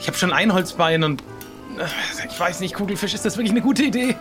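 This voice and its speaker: Man playing a high-voiced, chatty, skittish pirate. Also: a little fast, over music. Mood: hesitant. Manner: talkative, excitable, hesitant repetitions. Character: chatty, skittish pirate ship driver and chili lover. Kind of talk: improvised audio adventure play.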